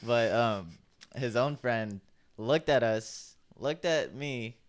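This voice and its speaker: A young man co-hosting a podcast, telling stories in a relaxed, chatty way and leaning on "like" often. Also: mid-range voice, takes it slowly. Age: young adult. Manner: laid-back storytelling, heavy use of "like". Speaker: podcast co-host. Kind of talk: casual chat.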